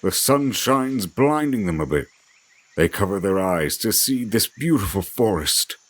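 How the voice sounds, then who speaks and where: A man's voice: fairly low pitch, animated, measured pace. A man narrating a scripted fantasy audio drama in a theatrical, descriptive storytelling manner.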